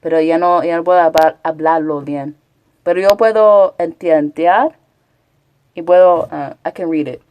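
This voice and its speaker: A woman's voice: sits low, normal speed, smooth, lo-fi sound. A chatty woman from Texas, rambling casually as a live streamer and answering her chat. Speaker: Twitch streamer.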